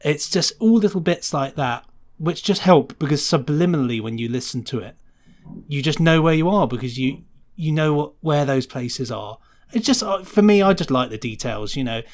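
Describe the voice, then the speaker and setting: A man's voice: a little high, animated, normal speed. A man talking casually and enthusiastically as the guest on a podcast interview. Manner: enthusiastic, chatty. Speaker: guest sound designer.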